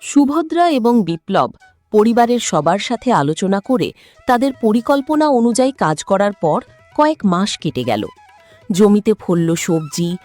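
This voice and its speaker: A woman's voice: medium pitch, dark tone. A woman giving scripted narration as the storyteller of a cartoon tale.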